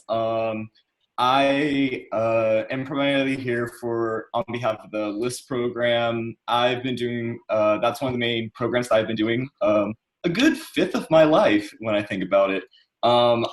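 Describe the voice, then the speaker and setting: A man in his twenties, born in the United States, talking on a panel over a video call in a relaxed, chatty way. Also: mid-range voice, poor audio. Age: 20s. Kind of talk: casual panel talk.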